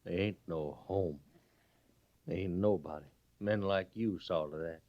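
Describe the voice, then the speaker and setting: A bitter Southern cavalry trooper, once a prisoner. His low voice drawls with defiance and resentment. It is radio Western acting on a muffled vintage recording. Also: measured pace. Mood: bitter, resentful.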